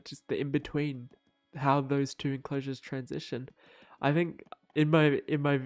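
A man's voice: mid-range voice, a little mellow. Slightly nasal young guy. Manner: rambling, many fillers and restarts. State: stuffy nose from a cold. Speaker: Minecraft builder narrating his speedbuild. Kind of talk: casual voice-over commentary.

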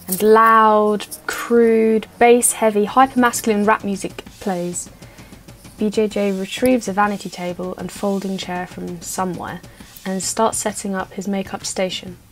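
A woman acting as narrator of a radio play, reading scripted stage directions in a measured, matter-of-fact way. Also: mid-range voice, fairly even intonation, soft background music, lightly acted.

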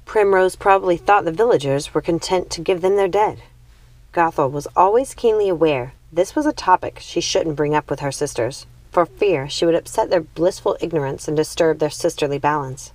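Low-pitched woman reading a storybook. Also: moderate pace, darkish tone, dry recording. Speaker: audiobook reader. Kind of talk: scripted storybook narration.

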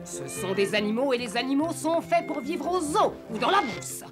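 An actress playing a stern, haughty governess in a French-dubbed cartoon, giving clipped, imperious orders. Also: female voice, high voice, very animated, moderate pace. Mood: indignant. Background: music.

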